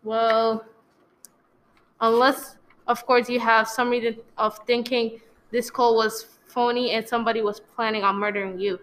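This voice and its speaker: A dismissive police desk officer played by a woman in a radio drama, with a slightly high voice. The delivery is matter-of-fact and patronizing, brushing worries aside. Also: level tone, normal speed, a bit bright, slight background noise, close to an everyday voice. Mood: brusque. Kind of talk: radio play acting.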